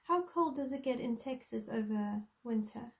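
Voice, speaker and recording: A woman's voice: a little high, lively intonation, moderate pace. A woman in her thirties from South Africa, with a South African accent, chatting casually and thinking aloud while she colors on a live stream. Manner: chatty, friendly, thinks aloud with many ums. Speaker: coloring channel host.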